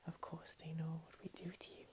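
A woman voicing a playful, menacing vampire cat-girl princess in a soft, breathy ASMR whisper, teasing and condescending. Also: low voice, lightly acted. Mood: cold curiosity. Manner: slow, teasing, condescending, with lingering pauses. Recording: steady hiss.

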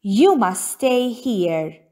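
A woman voicing a farmer mother in a storybook, polite and firm, giving clear instructions. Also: on the high side, very animated, drawn-out words, dark tone, heavily acted.

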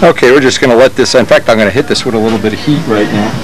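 A man's voice: medium pitch, rapid-fire. A man giving a casual hands-on how-to, talking unscripted as he works, as a hobbyist showing a repair. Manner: unscripted, thinking aloud while working. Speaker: hobbyist demonstrating model plane repair. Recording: noisy workshop with steady machine hum.

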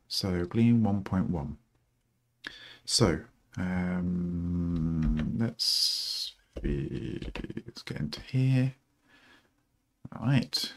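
A middle-aged man with a rough morning voice, thinking aloud slowly and haltingly with many ums while he codes at his desk. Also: deep voice. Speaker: programmer streaming a coding session.

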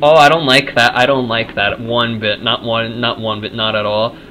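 Bright, forceful male gaming commentator. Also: medium pitch, little pitch movement, normal speed, a little hiss or hum, poor audio. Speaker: gaming video host. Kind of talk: casual gameplay commentary.

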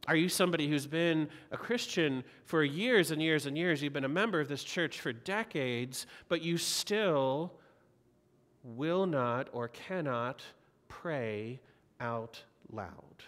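A man's voice: fairly high pitch, lively intonation, takes it slowly, fairly bright tone. A man in his forties preaching a sermon from the pulpit, earnest and exhorting, with repeated rhetorical questions. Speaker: pastor. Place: large church sanctuary. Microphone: pulpit mic. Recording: long reverb of a church hall.

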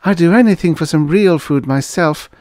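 A man's voice: on the high side, moderate pace, darkish tone, dry recording, pitched above the performer's own voice, natural voice. A man voicing a sympathetic, unhappy and guarded young waitress in a science fiction story. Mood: sad, guarded.

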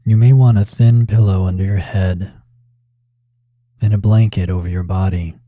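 A man leading a guided yoga relaxation as the teacher, speaking calmly in short, measured phrases with long pauses between them. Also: sits low, dry recording, lo-fi sound. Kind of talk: slow guided relaxation instruction.

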